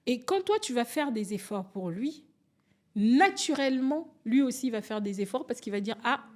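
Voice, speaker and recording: Animated woman in her forties from Angola. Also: a little high, normal speed. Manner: animated, storytelling, restarts and acts out quotes. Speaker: podcast guest. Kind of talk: candid conversational interview.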